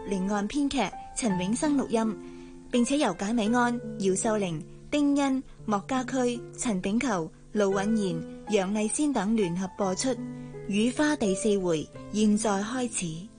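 A woman's voice: medium pitch, unhurried, music in the background. A woman with a Hong Kong Cantonese accent reading scripted credits as a radio drama announcer.

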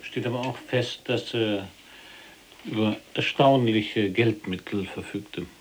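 An actor playing a composed Polish resistance prisoner in a German radio drama, measured, dry and probing. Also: male voice, fairly low pitch, slight background noise. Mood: calm probing.

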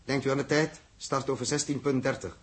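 An actor plays a loyal, businesslike male crewman in a vintage science fiction radio play. His voice sits at an ordinary male pitch. He talks in a clipped, conversational way with a wry edge. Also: fairly even intonation, a little fast, lightly acted. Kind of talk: 1960s radio drama acting.

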